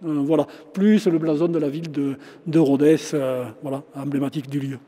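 A man's voice: a little high, fairly even intonation, a little fast, warm tone. A man in his sixties, a shop owner and local historian, talking through a face mask in a casual interview, with many fillers and restarts. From France. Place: large former chapel, indoors. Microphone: handheld interviewer mic, face mask on. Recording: long reverb of a stone chapel.

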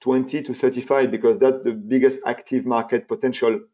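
A man's voice: mid-range voice, flat delivery, fairly quick, dark tone. A man, presenting a marketing webinar in an emphatic, repetitive way with many fillers and restarts. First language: French. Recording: dull, muffled webinar audio.

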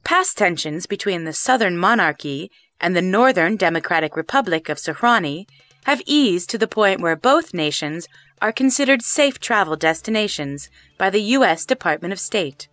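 An actress playing a polished television correspondent, delivering a scripted news report in a composed, formal manner. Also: female voice, lowish voice, expressive, normal speed, fairly bright tone, dry recording, soft background music. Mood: upbeat, promotional.

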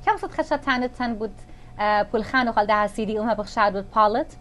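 A middle-aged woman hosts an interview, her voice sitting a little higher than usual. She asks long, flowing questions, recorded on a lapel mic in a small indoor lounge. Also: rapid-fire, a bit bright. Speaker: interview host. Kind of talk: hosted interview.

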